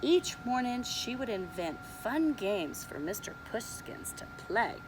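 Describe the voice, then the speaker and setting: A woman reading a picture book to small children, taking her time over each line. Her high voice rises and falls a lot as she acts out the story, with a faint hiss underneath. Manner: slow, expressive read-aloud, showing pictures. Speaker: preschool teacher.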